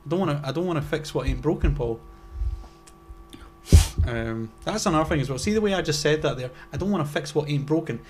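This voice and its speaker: A man's voice: a little high, lively intonation, fairly quick, a little hiss or hum. A young man co-hosting a podcast in casual chat. He rambles, stops himself mid-sentence and makes wry asides. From Scotland. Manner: rambling, self-interrupting and wry. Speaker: podcast co-host.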